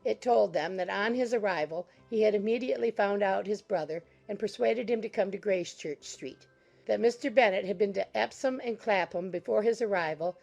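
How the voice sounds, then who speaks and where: A woman narrating a period novel as an audiobook, in measured, formal scripted prose. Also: medium pitch, gravelly, a little mellow, soft background music, rough recording.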